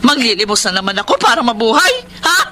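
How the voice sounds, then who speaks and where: A woman's voice: on the high side, very animated, fast, pushed and bright, a little hiss or hum. A woman playing a bitter, hot-tempered Filipino mother hardened by poverty in a radio drama, scolding and emotional. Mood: angry, tearful.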